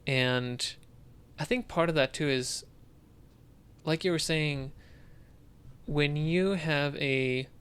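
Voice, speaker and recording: A man's voice: a little high, takes it slowly, long pauses, fairly bright tone, clear, resonant tone. A man co-hosting a casual discussion, thinking aloud a bit hesitantly as he talks. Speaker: podcast co-host. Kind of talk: casual discussion of video games.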